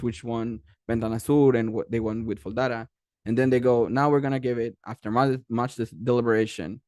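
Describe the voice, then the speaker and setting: A man's voice: medium pitch, no room sound, studio quality. A young man from Mexico, speaking English with a Mexican Spanish accent as a second language, tells a story in a casual presentation with lots of fillers and restarts. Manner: animated, rambling, many fillers and restarts. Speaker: guest speaker, animation creator.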